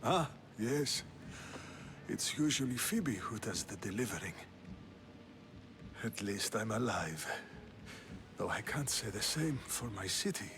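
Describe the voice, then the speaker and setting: A man playing a proud, weary, ailing older Athenian statesman in a video game, grave and halting. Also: lowish voice, sing-song, noisy background, exaggerated voice. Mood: weary, ashamed. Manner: grave, labored, halting delivery. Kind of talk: video game cutscene acting.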